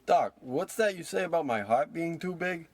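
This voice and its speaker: A man's voice: on the high side, animated, normal speed. A man playing a hapless small-time crook, a self-pitying tough guy, with melodramatic wisecracks in a parody of old radio drama. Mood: puzzled.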